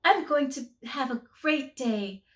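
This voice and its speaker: A woman voicing a shy, gentle little girl in a children's storybook, speaking softly and sweetly. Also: sits high, animated, slow pace, voice pushed up. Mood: hopeful. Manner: soft and sweet.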